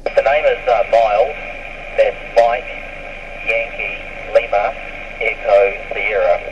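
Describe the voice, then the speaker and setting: Dull, noisy radio voice: male New ham operator, halting, formal on-air procedure. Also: on the low side. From New Zealand. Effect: voice heard over FM radio. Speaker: novice amateur radio operator. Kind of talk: radio contact chat.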